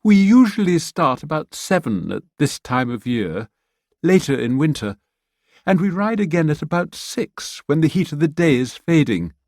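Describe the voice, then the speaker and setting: Guy doing a welcoming horse-riding lady, higher lively voice. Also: moderate pace, no echo, clean studio sound. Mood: friendly. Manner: warm and welcoming. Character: friendly woman who runs a riding stable.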